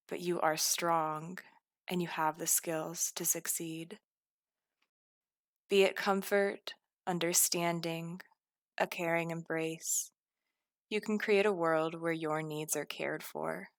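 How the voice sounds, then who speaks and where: A woman guiding a scripted meditation in a calm, soothing, deliberate manner. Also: sits low, no room sound, studio quality. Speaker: meditation guide.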